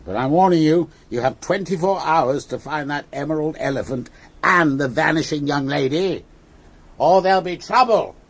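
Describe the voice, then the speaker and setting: An actor playing a blustering, suspicious older ship captain in a radio drama, gruff and indignant. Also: male voice, on the high side, normal speed, slight background noise, lightly acted. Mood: stern.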